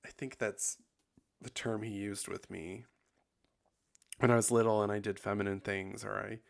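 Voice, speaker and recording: A man hosting a podcast in casual confessional chat, sounding husky and tired after bronchitis, rambling with lots of likes and ums. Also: fairly low pitch, flat delivery, normal speed. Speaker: podcast host.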